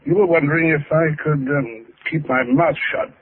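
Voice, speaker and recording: An actor in an old radio drama playing a nervous, blustering gentleman who stammers and gets flustered. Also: on the high side, big pitch swings, moderate pace, gravelly, crowd noise. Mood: alarmed. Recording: noisy, tinny old radio recording.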